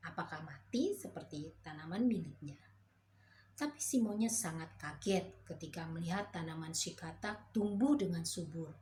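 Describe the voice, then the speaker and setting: Female storyteller reading a kids' fable, clear and slow, faint hiss behind. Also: on the low side, lively intonation, a little mellow. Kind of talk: reading a children's fairy tale.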